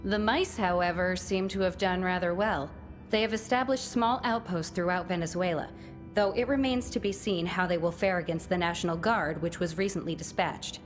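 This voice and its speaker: A woman playing a dutiful underling in a video game, reporting in a formal, measured, deadpan way. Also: on the low side, faint music behind, close to an everyday voice. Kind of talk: scripted video game dialogue.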